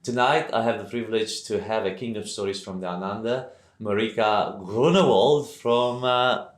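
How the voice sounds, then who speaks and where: Low-voiced man hosting, echoey room. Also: normal speed. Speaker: podcast host. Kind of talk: conversational interview.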